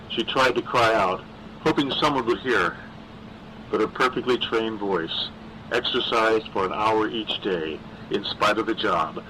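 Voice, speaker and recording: Male horror audiobook narrator: slightly low, sharp-edged, dramatic scripted delivery. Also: a little hiss or hum, rough recording.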